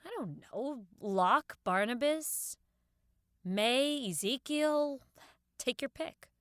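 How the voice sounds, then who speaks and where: A woman's voice: sits high, expressive, slow, dry recording, clean studio sound. A woman playing a wary, practical, questioning second-in-command in an audio drama. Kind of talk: audio fiction podcast acting.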